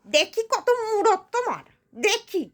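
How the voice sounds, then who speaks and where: A poor old village granny in a comic skit. Her voice is extremely high and swings widely up and down. She whines and grumbles in a querulous, rustic, self-pitying way, circling back over the same words. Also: expressive, slow, fairly bright tone, heavily acted. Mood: scornful, grumbling. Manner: querulous, whining, rustic, repetitive.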